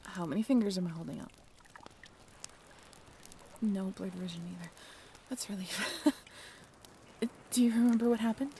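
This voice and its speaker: A woman acts out a half-mermaid young woman who crews a sailing ship, in an audio roleplay. Her mid-pitched voice is natural and halting, caring yet gently bossy, with bashful candor. She speaks directly to the listener over faint ambient noise. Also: natural voice. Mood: concerned, relieved.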